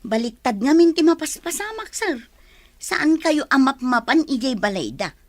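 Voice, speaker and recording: An actress playing a deferential but probing household helper in a radio drama. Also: female voice, sits high, very animated, normal speed, dry recording. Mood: concerned, probing.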